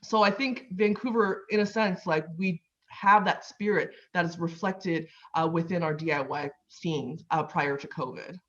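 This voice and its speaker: A woman's voice: fairly low pitch, unhurried, few pauses, fairly bright tone. A woman on a roundtable panel talking passionately in a casual way, full of filler words. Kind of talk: casual discussion. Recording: dull lo-fi audio.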